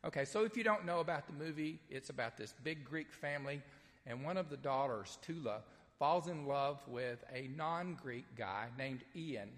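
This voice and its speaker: A man's voice: fairly high pitch, lively intonation, normal speed, fairly bright tone, long reverb tail. A man with a Southern US accent preaching an Easter sermon and reading scripture, in a folksy, conversational way with frequent ums and uhs. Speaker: pastor. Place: church sanctuary, streamed live.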